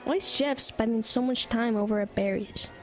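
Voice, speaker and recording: A woman's voice: fairly high pitch, normal speed, faint music behind, natural voice. An actress voicing an eager young boy who loves horror films and sounds whiny and earnest. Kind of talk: audio drama acting.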